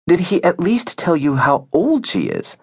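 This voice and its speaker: Man putting on an exasperated, high-pitched mother. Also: wildly swooping pitch, unhurried, husky, no echo, studio quality, heavily acted. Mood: sceptical. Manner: emphatic, protesting. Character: exasperated, worried, sceptical mother.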